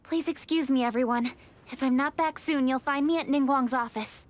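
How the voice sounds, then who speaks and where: A woman's voice: sits high, normal speed, a little hiss or hum, lightly acted. An actress as a serious, dutiful young noblewoman. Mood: businesslike. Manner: formal, earnest, composed. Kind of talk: video game character acting.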